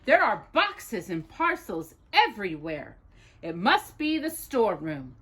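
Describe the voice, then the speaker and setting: A woman reading a children's picture book aloud as a storytime host, with expressive, deliberate delivery for a young child. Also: mid-range voice, slightly rough.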